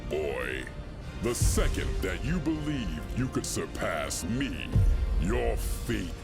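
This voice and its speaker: An actor playing an ancient, cruel alien tyrant in a video game, slow and grandiose, with a deep gravelly voice and contemptuous delivery. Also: male voice, sing-song, heavily acted. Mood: cold contempt. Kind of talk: dramatic video game acting. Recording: loud music behind the voice.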